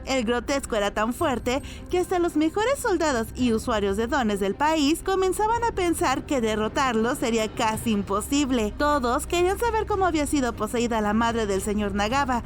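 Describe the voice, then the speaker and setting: Woman reading a story recap, pretty high voice, faint music behind. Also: sing-song, moderate pace, few pauses. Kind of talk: scripted narration.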